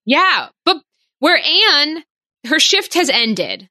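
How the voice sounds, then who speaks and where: A woman in her twenties co-hosting a podcast, bubbly and laughing a lot in quick bursts of talk. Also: high voice, very animated, pushed and bright, dry recording, studio quality. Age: 20s. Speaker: podcast co-host. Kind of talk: casual chat.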